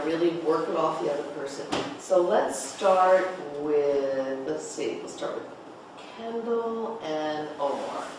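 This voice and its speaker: A teacher leading a table read, prompting a group with questions and repeating their answers back. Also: mid-range voice. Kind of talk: discussion, questioning and instructing a class. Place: echoey indoor room, recorded from a distance. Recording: echoey room, far mic, clear noise.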